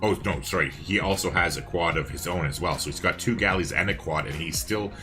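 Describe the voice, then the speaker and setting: A man with a very low, bright voice co-casts a strategy game on a stream. He talks casually and rambles through what he is checking, with a readiness to chuckle. Soft music plays faintly behind him. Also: fairly quick. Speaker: esports-style co-caster and streamer. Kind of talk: casual live game commentary.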